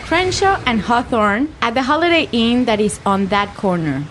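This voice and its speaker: A woman's voice: on the high side, animated, moderate pace, fairly bright tone, a little hiss or hum. A woman playing a friendly young Mexican businesswoman riding in a taxi, carefully enunciated for a language lesson. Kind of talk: acted language-lesson dialogue.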